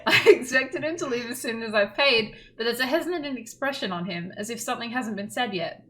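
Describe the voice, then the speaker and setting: A woman with a fairly high voice reads visual novel narration aloud as a streamer. Her storytelling is expressive, and faint noise sits behind her. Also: a little fast.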